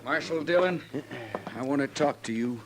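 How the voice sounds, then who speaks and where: An actor playing an impatient, confrontational rancher with a rural American accent in a 1950s radio western. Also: male voice, medium pitch, moderate pace, natural voice. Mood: angry, impatient. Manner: confrontational, blunt. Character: angry, impatient frontier rancher demanding action. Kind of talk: 1950s radio drama acting. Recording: faintly noisy old radio recording.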